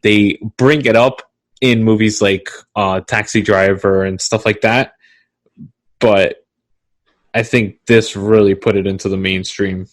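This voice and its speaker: A man's voice: lowish voice, steady tone, unhurried. A man co-hosting a podcast, talking casually with blunt, crude opinions. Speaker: podcast co-host. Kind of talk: casual chat.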